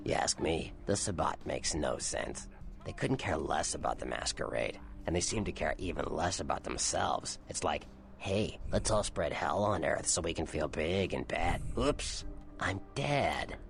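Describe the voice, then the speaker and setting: An actor voicing a cynical, streetwise vampire informant in a video game, gravelly, wry and blunt. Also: male voice, very deep voice, expressive, a little slow, soft background music, exaggerated voice. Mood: mocking. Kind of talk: video game character dialogue.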